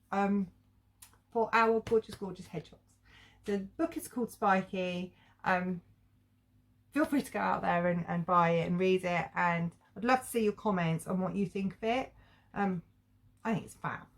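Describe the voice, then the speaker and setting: A woman's voice: mid-range voice, normal speed. A middle-aged woman giving a casual, chatty review to viewers in an informal, rambling, conversational way. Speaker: children's book reviewer and vlogger.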